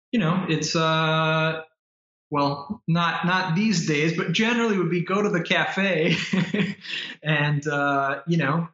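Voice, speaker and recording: Gravelly American man on an echoey call. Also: on the high side, sharp tone, lo-fi sound. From United States. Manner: hesitant, many fillers and restarts. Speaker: guest entrepreneur. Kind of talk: casual interview chat. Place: apartment in Manila.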